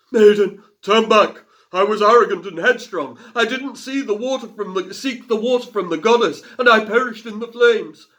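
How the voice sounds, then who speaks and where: A man's voice: high-pitched, bright, forceful tone, muffled recording, pitched above the performer's own voice. A man doing wailing ghosts. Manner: wailing, mournful warnings. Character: wailing pale ghosts of failed craftsmen.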